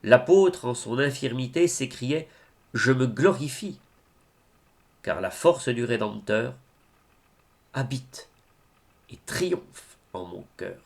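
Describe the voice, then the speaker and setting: A man with a mid-pitched voice recites devotional verse very slowly. His delivery is solemn and declamatory, with wide rises and falls in pitch and deliberate pauses between phrases. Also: expressive, creaky. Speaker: reader of devotional poetry.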